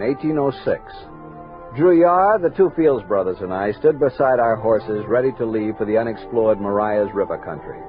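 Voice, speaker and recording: Frontier army captain, male actor: authoritative, steady, formal journal narrator with lively intonation, old thin radio sound. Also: medium pitch, lo-fi sound, lightly acted. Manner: authoritative, formal, measured storytelling. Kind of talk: 1960s radio drama acting and narration. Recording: thin, band-limited vintage radio recording.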